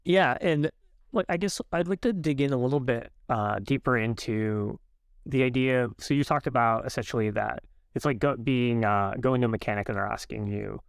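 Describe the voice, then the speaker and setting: A man's voice: medium pitch, normal speed, gravelly, no echo, studio quality. A man hosting an interview podcast, asking questions conversationally with frequent fillers. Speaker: podcast host.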